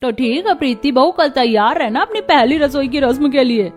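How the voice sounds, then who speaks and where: A woman's voice: high-pitched, animated, moderate pace. A woman voicing a kindly middle-aged village mother-in-law in a Hindi cartoon story, motherly and approving.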